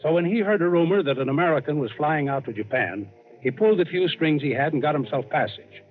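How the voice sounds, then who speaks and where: A man's voice: a little high, expressive, fast, slight background noise, lightly acted. Actor as male yarn-spinning adventurer, vintage radio. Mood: storytelling. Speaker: narrator and host. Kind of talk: scripted old-time radio narration. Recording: tinny old radio recording. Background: dramatic underscore music.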